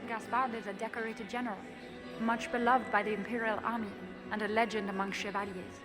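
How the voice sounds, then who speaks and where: A woman's voice: on the high side, steady tone, a little fast, lightly acted. An actress voicing a haughty, condescending female noble courtier in a video game, with a polished manner. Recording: game dialogue over loud music.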